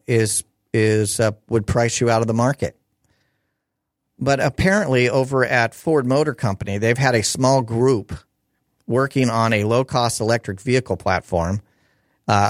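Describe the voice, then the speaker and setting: A man hosting a call-in radio show, talking casually with lots of fillers and restarts, his voice hoarse. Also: lowish voice, dry recording, studio quality. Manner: rambling, chatty, many fillers and restarts.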